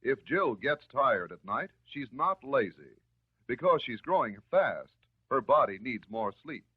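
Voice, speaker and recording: An American man delivering scripted narration in the style of a 1950s educational film, measured, instructive and reassuring. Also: medium pitch, sing-song, slightly rough. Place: voice-over recorded for film. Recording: muffled, narrow-band 1950s film soundtrack.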